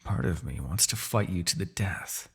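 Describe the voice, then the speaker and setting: Man acting husky, theatrical, vengeful demon king. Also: low voice, expressive, moderate pace, heavily acted. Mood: resigned, calculating. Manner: theatrical, gloating, with sighs and dark chuckles. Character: vengeful, proud demon king, bitter in defeat. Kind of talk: dramatic fantasy ASMR roleplay monologue.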